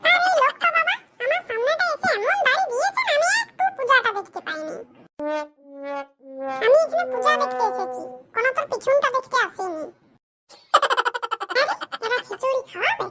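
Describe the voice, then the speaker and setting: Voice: extremely high pitch, very animated, moderate pace, long pauses, over loud music. A performer voicing a cheeky, grumbling village boy with a rural West Bengal accent in a comedy cartoon. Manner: brash, grumbling colloquial banter. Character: cheeky, mischievous poor village boys with squeaky voices.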